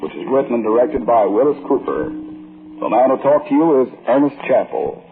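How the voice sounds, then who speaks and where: A man's voice: medium pitch, big pitch swings, normal speed, gravelly, narrow, tinny audio. Vintage radio announcer, man, over organ. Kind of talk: 1940s scripted network announcing.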